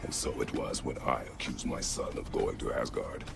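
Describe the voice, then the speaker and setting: Game role: grim warrior god, rock-bottom pitch, heavy growling rasp, terse formal lines, music behind. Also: male voice, steady tone, normal speed, full character voice. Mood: grave, reflective. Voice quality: gravelly. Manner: terse, grave, formal without contractions. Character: stern, battle-hardened warrior god, protective father. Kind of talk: video game dramatic acting.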